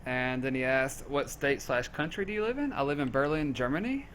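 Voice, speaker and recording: A man's voice: mid-range voice, animated, a little fast, fairly bright tone, a little hiss or hum. A man with a Southern US accent, reading out and answering community questions in a casual, joking, easygoing way. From southern United States. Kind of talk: casual group Q&A.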